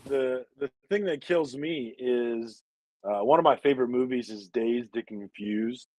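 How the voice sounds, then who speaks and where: A deep-voiced man in his forties, chatting loosely as a co-host. His delivery is wry and rambling, and the recording is dry and close with no room sound. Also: measured pace, darkish tone, no room sound, rough recording. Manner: laid-back, rambling, wry. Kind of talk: casual chat.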